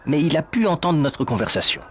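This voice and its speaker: Evasive male café manager, played by a man for a game dub: sardonic, hesitant, highly animated intonation. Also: fairly quick. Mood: uneasy, evasive. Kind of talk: video game fan dub acting. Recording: faint background noise.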